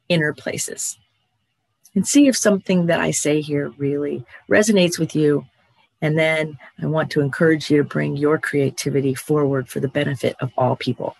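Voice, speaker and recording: A middle-aged man giving a reflective motivational talk, measured and thoughtful, pausing between phrases. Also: on the high side, vocal fry, dry recording. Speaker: speaker on creativity.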